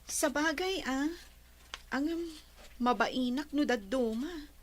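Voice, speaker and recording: Woman acting a very high-voiced, modest Ilocano girl. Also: takes it slowly, slight background noise. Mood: hesitant. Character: modest, hopeful, shy young woman. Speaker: actress in an Ilocano radio comedy drama. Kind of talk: comedy radio drama acting.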